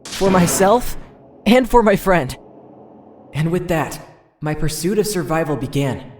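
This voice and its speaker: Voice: medium pitch, expressive, measured pace, a little mellow, close to an everyday voice. Earnest teenage boy narrator in roomy echo , acted. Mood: determined. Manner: earnest, dramatic, inner-monologue delivery. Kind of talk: dramatic first-person game narration, fan dub. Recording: roomy echo, music underneath.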